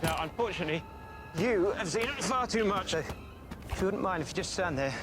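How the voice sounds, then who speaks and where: A man's voice: high-pitched, lively intonation, fairly quick. A man playing a shy, polite young wizard with a British accent, speaking hesitantly and apologetically in a game cutscene. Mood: polite, hurried. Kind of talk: scripted game cutscene dialogue. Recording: music behind the voice.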